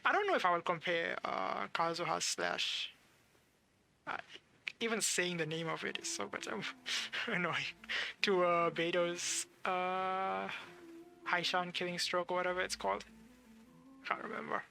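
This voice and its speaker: A man's voice: high-pitched, a little slow, creaky, pushed and bright. A man giving casual live commentary as he plays a game, musing aloud in a hesitant way. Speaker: game streamer.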